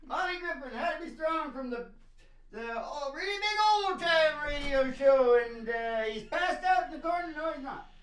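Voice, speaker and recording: A woman's voice: high-pitched, expressive, moderate pace, a little hiss or hum, low-quality recording. A woman hosting an amateur music show in casual, joking chat, with a boisterous, playful, teasing manner.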